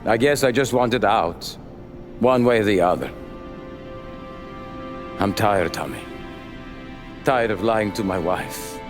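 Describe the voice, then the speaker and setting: An actor playing a weary, resigned older mob insider in a video game, gentle and defeated, speaking slowly and heavily. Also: male voice, lowish voice, expressive, over music. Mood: weary resignation. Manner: slow, heavy, resigned. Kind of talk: cinematic video game acting.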